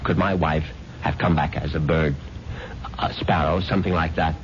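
An actor playing an anxious widower desperately questioning a doctor in a 1970s radio drama. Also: male voice, very deep voice, fairly even intonation, normal speed. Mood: anxious, insistent. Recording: muffled, noisy old radio broadcast recording.

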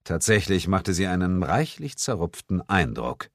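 A man's voice: low-pitched, big pitch swings, a little slow, dry recording, studio quality. A man narrating a comic first-person audiobook story in a mock-dramatic way.

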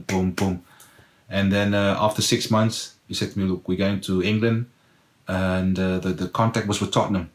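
A middle-aged South African man whose first language is Afrikaans, telling his life story in an interview and acting out his past self with exclamations. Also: lowish voice. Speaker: guest, former footballer. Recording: roomy echo.

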